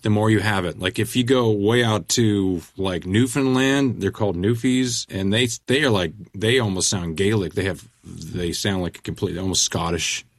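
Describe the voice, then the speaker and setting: Middle-aged man, low voice, casual rambling interview guest, dry recording. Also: moderate pace, hardly any pauses, dry recording. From Canada. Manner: rambling, self-deprecating, many restarts. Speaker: musician guest.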